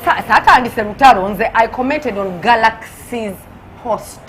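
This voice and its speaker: A woman's voice: a little high, moderate pace, a bit bright. A blunt, outspoken woman in her thirties, raised in the UK, talking as an interview guest. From United Kingdom. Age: 30s. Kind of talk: gossip interview, mixing Luganda and English. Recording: noisy background hiss.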